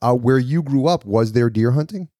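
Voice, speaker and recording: A man's voice: medium pitch, normal speed, no room sound, clean studio sound. A man from New York co-hosting a podcast, chatting loosely with plenty of fillers. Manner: relaxed, rambling with fillers. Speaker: podcast co-host. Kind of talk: casual podcast chat.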